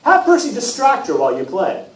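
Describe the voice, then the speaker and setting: A man's voice: very high-pitched, huge pitch swings, a little fast, voice pushed up, heavily acted. A man reading a storybook and voicing a vain, demanding god of music who talks in slangy, self-important fashion. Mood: breezy, bossy. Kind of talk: storybook character read aloud. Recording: roomy, distant, noisy event recording.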